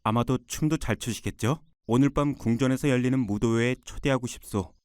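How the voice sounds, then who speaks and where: An actor playing a charming, courtly young prince in a cartoon, speaking formally over background music. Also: male voice, medium pitch, brisk, a little mellow, close to an everyday voice.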